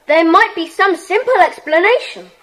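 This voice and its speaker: A decisive schoolboy who leads his little club. He speaks very high and with lively swings of pitch, giving orders in the manner of a children's radio adventure. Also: normal speed. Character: Peter, decisive schoolboy leader of secret club. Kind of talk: children's audiobook dramatisation.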